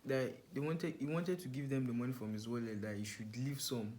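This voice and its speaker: A young man with a Nigerian accent casually recounting a memory in a halting, hesitant way. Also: medium pitch, fairly even intonation, a little mellow, slight background noise. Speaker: guest, vlogger's younger brother.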